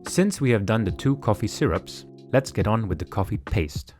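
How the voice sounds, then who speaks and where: A man giving measured, precise step-by-step narration for a home cooking tutorial. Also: on the low side, animated, darkish tone, faint music behind. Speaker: cooking channel host. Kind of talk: calm instructional cooking voice-over. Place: home kitchen.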